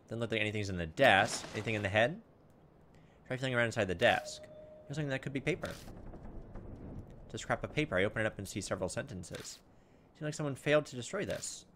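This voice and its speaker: A man playing through a game as host, reading its text aloud and dropping in informal, muttered asides. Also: on the low side. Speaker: let's play host. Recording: steady background noise over the voice.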